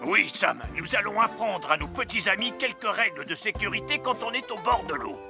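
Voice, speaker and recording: A flustered young scout leader in a dubbed cartoon. Mid-pitched but lively, he hurries through his advice. Music plays behind him. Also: fairly quick, gravelly. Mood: earnest.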